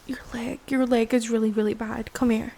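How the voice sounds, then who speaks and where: A woman's voice: fairly high pitch, little pitch movement, moderate pace, mellow. A woman acting a frightened young woman stranded with her partner in an improvised horror roleplay, sighing, swearing and sometimes dropping into a whisper. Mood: distraught, pleading. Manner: breathy sighs, drops into whispers, sweary. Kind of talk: improvised ASMR audio roleplay.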